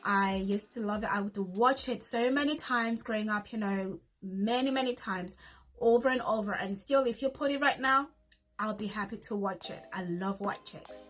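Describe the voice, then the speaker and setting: A woman's voice: medium pitch, normal speed, a bit bright, soft background music. A woman, chatting casually in a get-to-know-me vlog as she reads each question aloud and answers it. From Zimbabwe. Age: 30s. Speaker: vlogger.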